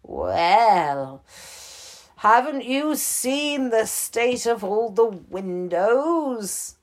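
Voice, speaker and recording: A woman's voice: on the high side, very animated, extremely slow, voice pushed up, heavily acted. A woman voicing a stubborn, boastful old male bear who is a prisoner, in a bedtime story. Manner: bluffing, insists he is never scared.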